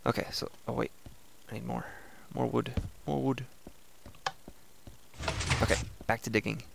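Raspy, gravelly man chatting over gameplay. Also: mid-range voice, a little slow, a little hiss or hum. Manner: relaxed, chatty, thinking aloud while playing. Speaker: let's play host. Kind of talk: casual gameplay commentary.

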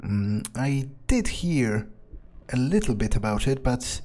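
Mellow, low-key man roleplaying through a game. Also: sing-song, slow. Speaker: gamer roleplaying in character. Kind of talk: improvised in-character gameplay talk.

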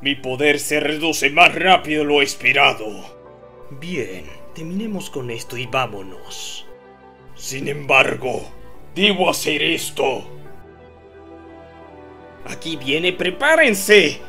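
A man with a Latin American accent voicing a mighty, defiant superhero in a fan-dubbed anime battle, with dramatic, drawn-out delivery. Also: fairly high pitch, lively intonation, bright, forceful tone, heavily acted. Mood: grim resolve. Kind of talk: anime fan dub acting. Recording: music behind the voice.

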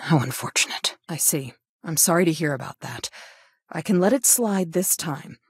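A stern female school counselor from a video game. Her voice sits on the low side and she phrases things formally, like an official reproaching a student. The sound is close and dry, with very little room on it. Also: expressive, breathy edge, no echo. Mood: sympathetic. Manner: formal, scolding, deliberate phrasing. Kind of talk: scripted game character dialogue.

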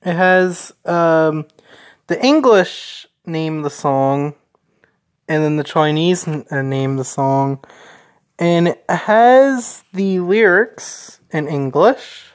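A woman narrating a casual unboxing in a halting, deliberate way. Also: low-pitched, lively intonation. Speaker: unboxing video maker.

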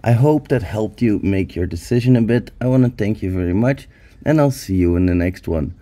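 Hoarse man reviewing tech. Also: lowish voice, expressive, brisk, warm tone. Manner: upbeat, chatty, addresses viewers as hackers. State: hoarse from illness. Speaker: YouTube security reviewer. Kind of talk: casual screen-recorded tech review.

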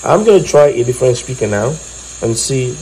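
A man with a mellow, mid-pitched voice talks through a practical electronics demonstration. He explains informally, one step at a time, as a tutorial host. A faint noise sits behind his voice. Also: lively intonation, brisk, rough recording. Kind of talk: informal hands-on demonstration narration.

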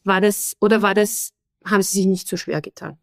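A woman's voice: mid-range voice, steady tone, brisk, dry recording, studio quality. A woman hosting a podcast interview, asking long questions that she restarts and rephrases as she goes. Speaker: podcast host.

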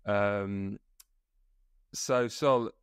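A young man hosts a podcast from a small room lit by coloured lights, with a low, smooth, clear voice. He sounds upbeat and playful, and the sound is dry. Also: steady tone, very slow, no room sound. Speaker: podcast host. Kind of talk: casual podcast interview. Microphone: video call.

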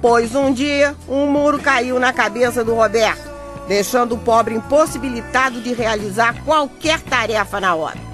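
Actress for bricklayer's wife, radio drama narrator. Brazilian, highish voice, gossipy and wry, over a music bed. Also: female voice, moderate pace, lightly acted. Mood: wry storytelling. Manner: wry, conversational, addressing listeners. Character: chatty, exasperated bricklayer's wife telling the tale. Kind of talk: radio soap opera narration. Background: music under the voice.

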